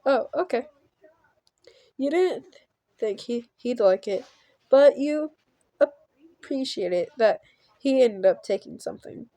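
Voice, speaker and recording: A woman hosting a game channel reads a visual novel aloud with casual commentary. Her voice is slightly high and mellow, and she goes slowly, repeating words as she stumbles, with a giggly manner. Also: animated, no room sound. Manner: halting reading, stumbling over and repeating words. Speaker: gaming channel host.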